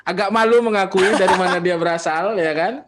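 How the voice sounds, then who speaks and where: A man's voice: high voice, background noise. A man with a North Sumatran Indonesian accent hosting a podcast, playful and teasing in casual chat. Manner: playful, teasing, quick asides. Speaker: podcast host.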